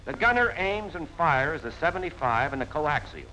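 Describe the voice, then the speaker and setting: Lively American man narrating 1940s training film. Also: on the high side, normal speed. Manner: plain-spoken, punchy, colloquial instructor delivery. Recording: noisy 1940s film soundtrack.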